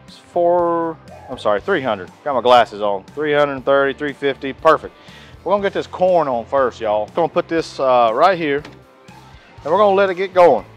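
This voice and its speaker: Folksy man cooking outdoors. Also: fairly high pitch. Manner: folksy, chatty, says "y'all" often. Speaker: cooking channel host. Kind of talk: casual cooking vlog. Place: outdoors on a beach by the ocean. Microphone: clip-on lapel mic.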